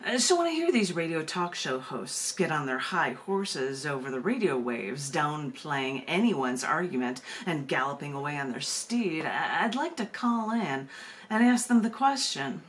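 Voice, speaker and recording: An American woman speaking as a poet and open mic host, emphatic and conversational, often repeating words haltingly. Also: deep voice, sing-song. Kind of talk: spoken-word poetry reading and open mic hosting.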